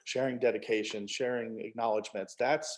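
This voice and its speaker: A man's voice: mid-range voice, fairly even intonation, brisk. Man chatting as a co-author. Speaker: author, co-writer of a novel. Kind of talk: casual panel conversation.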